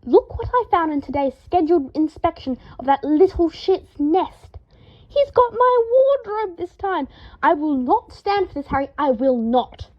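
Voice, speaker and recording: A woman's voice: high-pitched, very animated, measured pace, highs cut off, voice pushed up. A woman reading aloud, voicing a fussy, haughty young wizard man who shrieks in indignant fury. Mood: furious.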